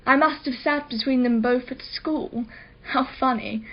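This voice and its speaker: An actress playing a wealthy, privileged art collector in a radio play, with an arch, articulate, sardonic manner. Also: female voice, high-pitched, a little slow, lo-fi sound. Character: haughty, wealthy, privileged female art collector, witty and defensive.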